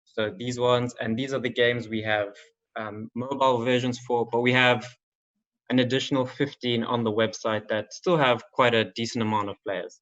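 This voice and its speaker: A man's voice: mid-range voice, level tone, normal speed, fairly bright tone. A young man from Zimbabwe giving an online conference talk as a games marketing manager, in a casual style with many ums and likes. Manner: casual, filler-heavy delivery with frequent "um" and "like". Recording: slightly dull lo-fi audio.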